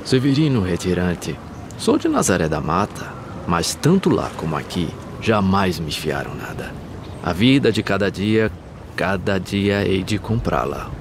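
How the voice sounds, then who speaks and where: A wise, steadfast older Brazilian carpenter in an animated verse drama. The voice is deep and swings widely as he declaims his counsel. There is clear outdoor noise behind. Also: unhurried, heavily acted. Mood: resigned, steadfast. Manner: declamatory, counselling, swooping emphasis.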